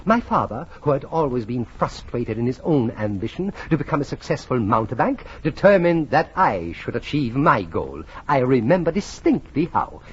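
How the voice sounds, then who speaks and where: An actor playing a vain, fussy self-styled great man who tells his own life story in halting, theatrical 1940s radio comedy style. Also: medium pitch, big pitch swings, slight background noise, close to an everyday voice. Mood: grandly self-satisfied. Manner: pompous, halting, theatrical delivery. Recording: muffled old 1940s radio recording.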